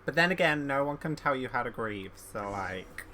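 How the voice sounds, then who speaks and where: A man hosts a gaming playthrough with a bright, mid-pitched voice. His commentary is casual and offhand, with faint noise behind it. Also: lively intonation, moderate pace. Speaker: gaming video host. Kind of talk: casual play-along commentary.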